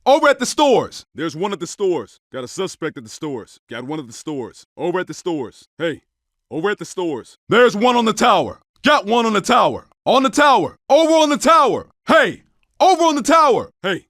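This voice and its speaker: Game undercover cop: alert and clipped, higher-pitched man's voice, terse combat callouts, very dry recording. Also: sing-song, moderate pace, a bit bright, dry recording. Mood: low, guarded. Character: gruff, alert male undercover cop in gunfight. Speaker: video game player character. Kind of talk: short tactical callouts.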